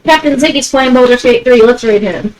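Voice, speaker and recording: A woman hosting a live tabletop game stream, with a naturally high voice, giggling often and reading chat aloud. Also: fairly quick, poor audio. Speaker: stream host and player.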